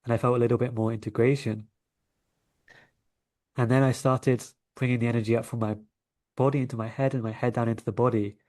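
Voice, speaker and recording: A man's voice: mid-range voice, level tone, a little fast, long pauses, a little mellow. A man from London with a London English accent, telling stories conversationally as an interview guest. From London, England. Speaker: interview guest, coach and energy healer.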